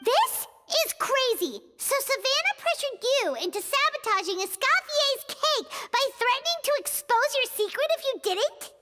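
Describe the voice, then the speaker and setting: Squeaky lil game sidekick girl, super high, bouncy. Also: big pitch swings, normal speed, hardly any pauses. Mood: shocked. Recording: game audio with reverb.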